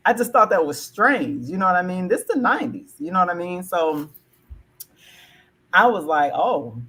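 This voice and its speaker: Animated woman from Alabama. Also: on the low side, moderate pace, breathy edge. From Alabama, American South. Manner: animated, many "like" fillers. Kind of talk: casual discussion on a video call. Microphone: video call.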